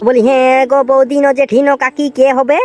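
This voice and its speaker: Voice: sits high, level tone, brisk, close to an everyday voice. A performer voicing a cheeky, exasperated young village boy who leads the gang in a comic cartoon, with a Purulia Bengali accent. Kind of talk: comic regional cartoon dialogue. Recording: music under the voice. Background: music.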